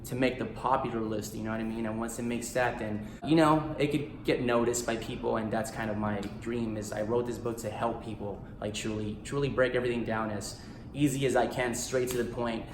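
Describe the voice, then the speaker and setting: Picture a man in his thirties who grew up speaking Spanish, chatting straight to camera in a loose, heartfelt way. His voice sits at an ordinary middle pitch. The room around him rings with a noticeable echo, and a faint hiss lies underneath. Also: steady tone, a little fast. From United States. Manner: eager and heartfelt, full of "like" and "you know". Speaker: actor and author. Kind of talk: casual self-promo vlog. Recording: roomy echo in a small room.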